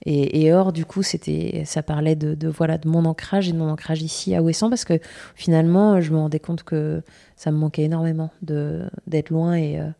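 Young woman, singer from Brittany; low, soft, warm tone; halting, searching speech. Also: creaky. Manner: hesitant, many fillers and restarts. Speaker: singer being interviewed. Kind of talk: casual interview answers.

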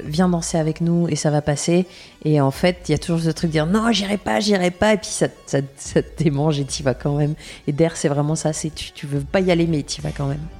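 A woman's voice: deep voice, warm tone. A young woman from Brittany, a singer, answering interview questions with many hesitations and restarts.

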